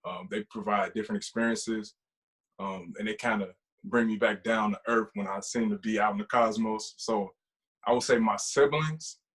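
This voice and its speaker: Man, early twenties, educator guest, measured, thoughtful, lowish voice. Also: steady tone, fairly bright tone, rough recording. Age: 20s. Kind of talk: conversational interview answer.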